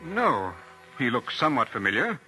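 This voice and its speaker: A man playing a guarded, aloof gentleman suspect in a 1940s radio drama. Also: medium pitch, sing-song, normal speed, faint music behind, low-quality recording.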